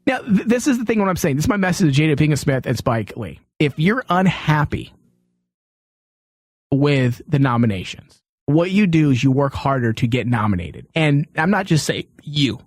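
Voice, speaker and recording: A man's voice: a little high, sing-song, moderate pace, no room sound, studio quality. A man hosting an opinionated panel talk, arguing his points emphatically. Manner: emphatic, argumentative. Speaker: podcast host.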